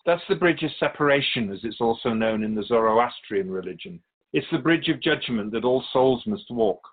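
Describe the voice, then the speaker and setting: Radio drama role: male actor as a wise spirit guardian of souls, didactic storyteller, animated intonation, mid-pitched. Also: phone-quality sound, lightly acted. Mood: solemn, instructive. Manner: didactic, measured explanations with pointed asides. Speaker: interviewed guest. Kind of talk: scripted radio drama dialogue.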